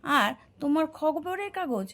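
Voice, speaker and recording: Woman voicing a high-pitched talkative cartoon son. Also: expressive, a little slow, fairly bright tone, exaggerated voice. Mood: agreeable. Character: exasperated, talkative young son in a family. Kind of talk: cartoon voice acting.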